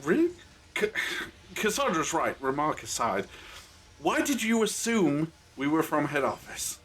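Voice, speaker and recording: An actor playing a quick-witted, sardonic time-traveling adventurer in an audio drama, questioning with emphatic indignation. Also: male voice, a little high, very animated, a bit bright, a little hiss or hum. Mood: probing.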